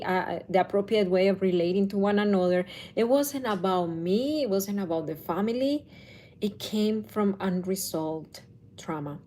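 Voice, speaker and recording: A woman's voice: mid-range voice, darkish tone, slight background noise. A woman, likely in her thirties, talking to camera as a parenting coach in a thoughtful, casual way with restarts. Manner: slow, thoughtful, with restarts and self-corrections.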